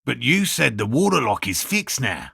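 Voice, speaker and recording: Gravelly, earnest eccentric cryptozoologist. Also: male voice, mid-range voice, sing-song, normal speed, pushed and bright, no echo, studio quality, exaggerated voice. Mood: polite, measured. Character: earnest eccentric male cryptozoologist scientist, obsessive but courteous. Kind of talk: video game dialogue voice lines.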